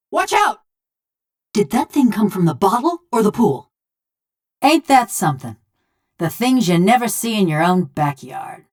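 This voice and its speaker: A woman's voice: on the low side, darkish tone. A woman voicing a wry, vengeful female machine of brass in a video game, with a folksy, drawling American accent. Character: sardonic, vengeful female construct of brass, a killer. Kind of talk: video game character voice lines.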